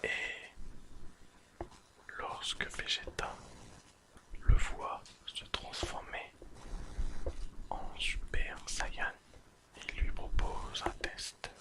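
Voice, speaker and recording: An ASMR host speaks entirely in a breathy whisper. The delivery is extremely slow and deliberate, broken into short phrases with pauses between them, like a relaxing spoken summary. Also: low-quality recording. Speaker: host of an ASMR anime recap channel.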